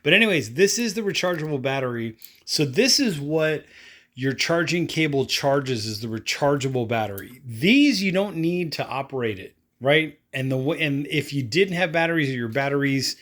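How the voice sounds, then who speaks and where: A man's voice: medium pitch, expressive, normal speed, a bit bright. Casual man rambling through a product demo. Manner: rambling, informal, talking through actions aloud. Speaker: product review host. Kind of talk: casual unscripted product demonstration.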